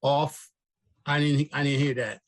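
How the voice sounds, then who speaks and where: An older man testifying to a zoning board on a video call, plain and halting. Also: on the high side, level tone. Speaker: applicant before a zoning board. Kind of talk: testimony at a public meeting.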